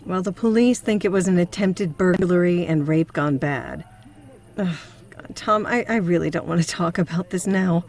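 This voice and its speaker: A woman's voice: on the low side, normal speed, a little mellow, lightly acted. A woman playing an earnest, principled psychotherapist with a prim, concerned manner in an audio drama. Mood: upset.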